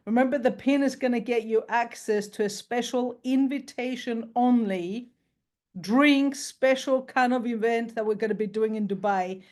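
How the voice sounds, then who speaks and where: A woman's voice: on the high side, normal speed, a little mellow. A woman co-hosting a team video call, chatty and encouraging, talking to the group in a casual way. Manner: chatty, encouraging, laughs easily.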